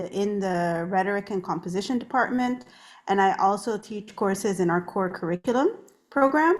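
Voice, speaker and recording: Hesitant woman introducing herself online. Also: medium pitch. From Canada. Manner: hesitant, with many "uh" and "um". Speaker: workshop co-facilitator, university administrator. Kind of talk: self-introduction in an online workshop. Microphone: computer mic on a video call.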